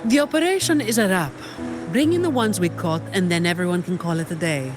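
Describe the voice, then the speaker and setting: An actress voicing a cool, weary, dutiful young security operative in a video game, composed and dryly unimpressed. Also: female voice, fairly low pitch, brisk, close to an everyday voice. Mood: businesslike. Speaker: employee of a powerful family leading an operation. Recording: studio voice under game music.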